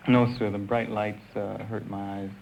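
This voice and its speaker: Polite man from El Salvador, low voice. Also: little pitch movement, normal speed, creaky, a little mellow, slight background noise. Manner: polite, brief, a little distant from the mic. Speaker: quiz show contestant. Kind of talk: answering questions on a quiz show.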